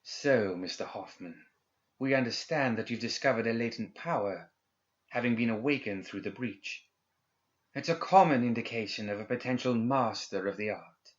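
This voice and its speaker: A man's voice: mid-range voice, normal speed, lightly acted. A man voicing a formal, coercive male official in an audiobook, speaking with polite menace. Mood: probing. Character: smooth-talking, coercive male Guild official. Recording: slightly dull, band-limited recording.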